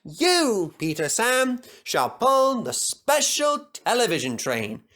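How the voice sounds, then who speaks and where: A man voicing a grave, kindly railway manager in a storybook reading, formal and measured. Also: very high voice, huge pitch swings, a bit bright, pitched above the performer's own voice, full character voice.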